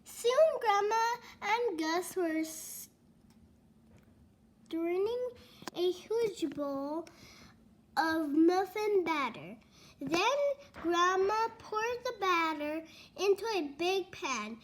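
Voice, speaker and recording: A young girl reading a children's storybook aloud in a halting, word-by-word way with small stumbles. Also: very high-pitched, expressive. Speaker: read-along reader.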